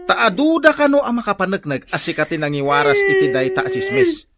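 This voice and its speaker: A man's voice: very high-pitched, very animated, brisk, heavy background noise, exaggerated voice. A man with an Ilocano accent playing an exasperated, stern village husband who scolds and mocks in a comedy radio drama. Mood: scolding. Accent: Filipino, Ilocano. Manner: scolding, emphatic, swooping delivery.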